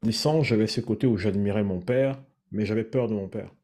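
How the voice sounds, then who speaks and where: A man from Cameroon, sharing his personal story in a thoughtful, measured way. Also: lowish voice, mellow. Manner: thoughtful, measured, searching for words. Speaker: guest sharing his testimony. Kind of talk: reflective personal testimony.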